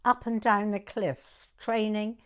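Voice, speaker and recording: A woman in her nineties from Dorset with a southern English accent, reminiscing in an interview in a hesitant, halting way and repeating words. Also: medium pitch, fairly even intonation, smooth. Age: 90s. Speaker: oral history interviewee.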